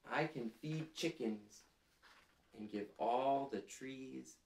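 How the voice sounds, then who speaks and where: Male storytime reader, 30s, unhurried and gentle, slightly creaky voice, recorded in a roomy space. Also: medium pitch. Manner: gentle, deliberate, addressing young children. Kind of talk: children's picture-book read-aloud with questions to listeners. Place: small indoor living room, seated. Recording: roomy echo.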